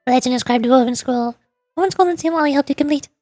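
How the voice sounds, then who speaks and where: A woman's voice: high-pitched, fast, darkish tone, soft background music. A woman VTuber giving playful game commentary and reading on-screen text aloud. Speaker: VTuber streamer.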